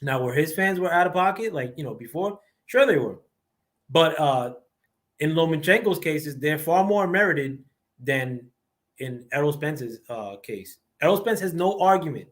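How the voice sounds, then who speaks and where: Casting: man with a squeaky, slightly high voice, livestream host, rambling profane rant, heavy on fillers. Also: animated, normal speed. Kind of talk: casual boxing rant.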